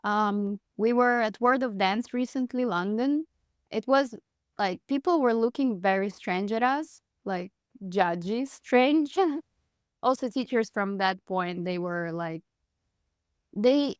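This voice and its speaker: A woman's voice: mid-range voice, slow, smooth, dry recording, clean studio sound. A woman in her thirties from Moscow with a Russian accent, talking casually as an interview guest and laughing often as she tells her story. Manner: chatty, laughs often, frequent "like". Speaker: guest, dance teacher.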